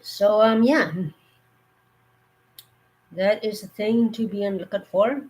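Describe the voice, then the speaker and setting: Hoarse woman giving earnest warnings. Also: medium pitch, slow pace, darkish tone, low-quality recording. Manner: earnest, rambling with fillers. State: hoarse from a cold. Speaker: live streamer. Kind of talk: casual live-stream talk.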